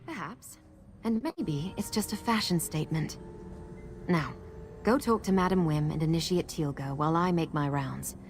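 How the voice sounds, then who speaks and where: An actress playing a guarded, urgent masked woman who protects people from cultists in a fantasy video game, speaking in an earnest, measured way. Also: deep voice, a little hiss or hum. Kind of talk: video game character dialogue.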